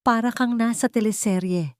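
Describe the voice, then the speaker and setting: A woman's voice: on the high side, moderate pace, darkish tone, dry recording, studio quality, voice pushed up, lightly acted. Woman acting a curt, weary old Filipina landlady. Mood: irritable. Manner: curt, scolding. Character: irritable, world-weary old woman landlady, gruff but soft-hearted.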